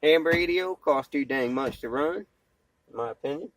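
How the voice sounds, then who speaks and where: A man's voice: fairly high pitch, normal speed, slight background noise, rough recording. Folksy man rambling casually to his phone. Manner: folksy, plainspoken, slangy. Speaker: amateur vlogger and CB radio hobbyist, former truck driver. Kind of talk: casual rambling vlog talk. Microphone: cell phone.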